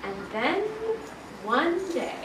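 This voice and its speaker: A woman primary school teacher reading a picture book aloud to a young class with expressive, emphatic storytelling. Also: high voice, takes it slowly. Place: indoor classroom, children present. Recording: echoey classroom from far off, some noise.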